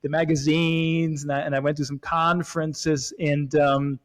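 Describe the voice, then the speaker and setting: A man in his forties from Switzerland, chatting as a guest, talking haltingly with many restarts and fillers. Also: a little high, little pitch movement. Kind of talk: casual chat.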